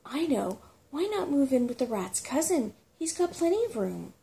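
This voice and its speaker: A woman voicing an excitable, grumbling small furry female creature in a storybook, blunt and self-important. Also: high voice, moderate pace, gravelly, pitched above the performer's own voice, full character voice. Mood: brisk.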